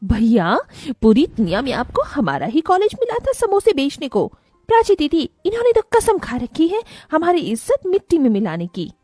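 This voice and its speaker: A woman's voice: high-pitched, wildly swooping pitch, moderate pace, dark tone, no echo, soft background music. A woman voicing a haughty, snobbish young sister in a cartoon moral story, speaking in a scornful, scolding way. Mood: annoyed. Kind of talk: animated moral-story acting.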